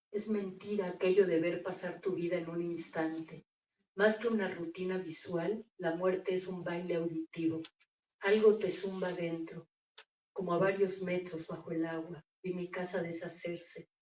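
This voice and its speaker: A woman reading her own poems aloud in a measured, deliberate recital at a bookstore. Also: lowish voice, dark tone, far from the mic. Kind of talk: poetry reading. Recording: echoey room, voice off-mic.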